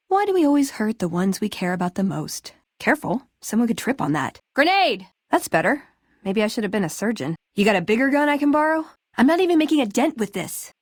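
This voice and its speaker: A woman's voice: a little high, no echo, studio quality. An American actress playing a spirited, sarcastic young woman, a scrappy scavenger and brawler companion in a video game. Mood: dry, casual, then shouting alarm. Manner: sarcastic, playful, quippy. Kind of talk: video game dialogue acting.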